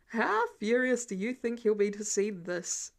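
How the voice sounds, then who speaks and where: A woman's voice: medium pitch, animated, unhurried, gravelly. Casting: woman as smug, bored rich teen heir, confident and nonchalant, audiobook dialogue. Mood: playful.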